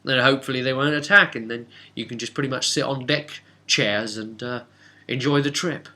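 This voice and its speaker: Guy doing a friendly sea captain for a tabletop rpg, chatty n easygoing, bright voice, bit hesitant. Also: mid-range voice, steady tone, moderate pace. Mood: friendly bargaining. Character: friendly, talkative male ship captain offering passage.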